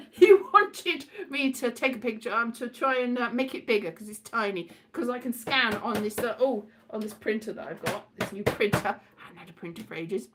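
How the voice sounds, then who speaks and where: Scatty, high-voiced woman rambling casually. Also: lively intonation, normal speed, hardly any pauses, background noise. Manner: scatty, digressive, trailing off and repeating words; playful, acts out quotes in put-on voices.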